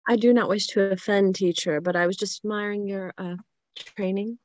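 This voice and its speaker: A woman's voice: mid-range voice, steady tone, normal speed, close to an everyday voice. Woman reading elegant, coy older lady. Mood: coy, genteel. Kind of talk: screenplay table read.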